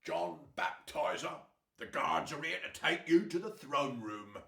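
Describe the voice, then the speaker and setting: An actor playing a gruffly official prison guard in a radio Bible drama, declaiming in an echoey space. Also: male voice, a little high, sing-song, unhurried, pushed and bright, heavily acted. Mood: officious. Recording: echoey, roomy radio-play sound.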